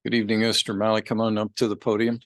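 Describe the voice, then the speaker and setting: A man chairing a public meeting, giving brisk procedural instructions and short acknowledgements. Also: fairly low pitch, completely flat delivery, no room sound, clean studio sound. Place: council meeting room.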